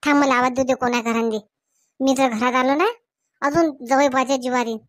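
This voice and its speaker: A woman's voice: sits high, steady tone, a little fast, no echo, voice pushed down, lightly acted. A woman voicing a protective village man in a comedy cartoon, blunt and assertive. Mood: blustering.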